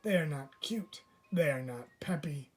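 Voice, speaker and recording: Man playing storybook shop lady, brisk, dismissive, emphatic, matter-of-fact. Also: a little high, animated. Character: brisk, dismissive woman pet shop clerk.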